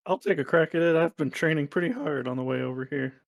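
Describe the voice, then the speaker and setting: A man's voice: on the high side, fast talker, gravelly. A man playing a tabletop game, talking casually as a player at the table.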